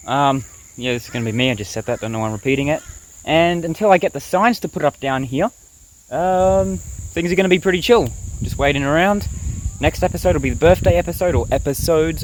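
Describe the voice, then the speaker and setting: A man's voice: on the high side, a little hiss or hum, poor audio. Rambling young man vlogging outdoors. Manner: rambling, relaxed, talks straight to viewers. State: sick, blocked nose and sore throat. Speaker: bushcraft vlogger. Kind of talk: casual outdoor vlog. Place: outdoors in the bush.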